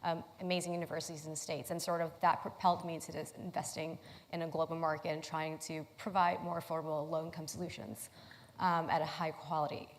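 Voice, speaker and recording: A woman's voice: low voice, level tone, fast talker. A woman from Thailand moderating an investor panel on stage, friendly and hesitant with many ums. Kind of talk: panel discussion. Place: conference hall stage. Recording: long reverb of a conference hall.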